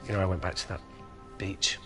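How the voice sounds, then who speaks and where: Man acting low-voiced, wry doomed lab worker. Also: level tone, fairly quick, natural voice. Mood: earnest, heavy. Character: wry, self-sacrificing infected male lab colleague in desperate escape. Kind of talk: live-action film drama acting. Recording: background music behind the dialogue.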